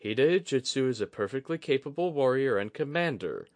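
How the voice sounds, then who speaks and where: A man's voice: mid-range voice, expressive, darkish tone, dry recording, voice pushed up, lightly acted. Man as a composed young commander, dry recording. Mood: resigned, wary. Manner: measured, well-spoken, dryly amused. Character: composed, shrewd young male clan commander, courteous and dry. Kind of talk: audiobook fantasy character acting.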